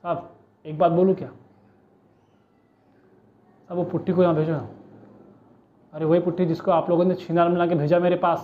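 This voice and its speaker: A man's voice: sits high, little pitch movement, normal speed, pauses often, lightly acted. A man acting a cheeky small-time crook with a Mumbai street accent, bargaining and pleading in a police interrogation monologue. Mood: pleading, wheedling. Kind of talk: audition monologue, film-style street-slang acting. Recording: muffled, narrow phone-like sound.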